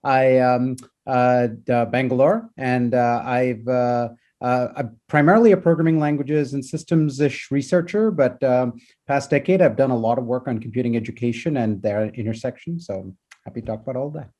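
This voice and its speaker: A man from India, a professor on a video-call panel, talking quickly and lively with restarts. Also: medium pitch. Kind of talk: panel discussion.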